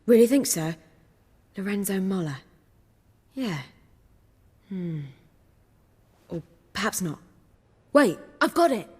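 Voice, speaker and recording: An actress playing an excitable, self-assured playwright in a video game, with a theatrical and bossy manner. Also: female voice, medium pitch, slow pace, long pauses, breathy edge, in a large hall. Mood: excited, theatrical.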